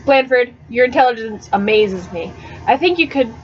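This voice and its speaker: A woman's voice: fairly high pitch, animated, normal speed, soft background music, natural voice. A woman reading the part of a smug, pushy teenage boy teasing his friend in a storybook, on a webcam recording. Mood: persuasive. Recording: dull, noisy webcam audio.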